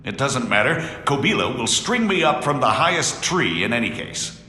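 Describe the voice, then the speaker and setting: A man's voice: medium pitch, very animated, normal speed, bright, forceful tone. An actor playing a shrewd, sardonic outlaw nobleman, a medieval villain in a video game, with a theatrical delivery. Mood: defiant, resigned. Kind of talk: video game cutscene acting. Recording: very echoey hall-like reverb.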